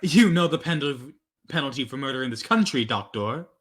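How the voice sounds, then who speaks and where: Man playing a bright-voiced, arch radio-thriller thief. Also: mid-range voice, animated, moderate pace, husky. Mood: cool defiance. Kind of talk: 1940s radio thriller acting.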